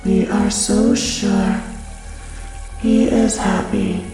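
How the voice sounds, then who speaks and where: A woman's voice: medium pitch, level tone, extremely slow, gravelly, music in the background, rough recording. A woman voicing the obsessive, coldly protective inner voice of a female mafia boss in an audio roleplay, chanting short insistent phrases. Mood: obsessive, menacing.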